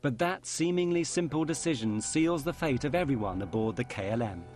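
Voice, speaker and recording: A man's voice: mid-range voice, animated, a little fast, over music. Grave documentary narrator, man. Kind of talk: scripted narration.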